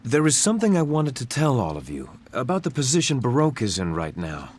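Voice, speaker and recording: An actor playing a dutiful young prince in a video game cutscene, speaking courteously and gravely. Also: male voice, medium pitch, huge pitch swings, a little fast, slight background noise.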